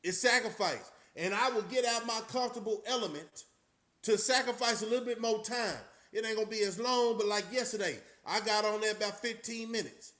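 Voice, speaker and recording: A man's voice: high-pitched, fairly quick, pushed and bright. A man hosting a casual live-stream chat, talking loosely and rambling with frequent restarts. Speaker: channel host.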